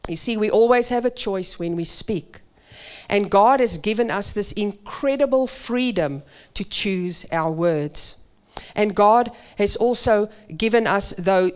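Slow, clear-voiced woman preaching. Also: mid-range voice. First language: Afrikaans. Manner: earnest, measured, emphatic delivery. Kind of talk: sermon. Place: church.

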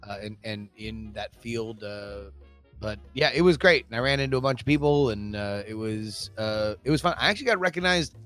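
Hoarse, gravelly man chatting. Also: on the low side, moderate pace, a bit bright, soft background music. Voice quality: gravelly, strained, trashed voice. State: hoarse after a convention. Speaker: guest, politics podcaster. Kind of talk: casual chat.

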